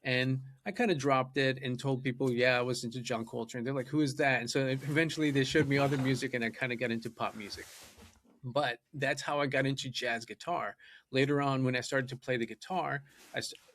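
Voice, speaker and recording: A man's voice: medium pitch, fairly even intonation, a little fast, fairly bright tone. A man whose first language is Japanese, a podcast guest telling his story in an earnest, eager way. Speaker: guest, data scientist and musician. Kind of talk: podcast interview.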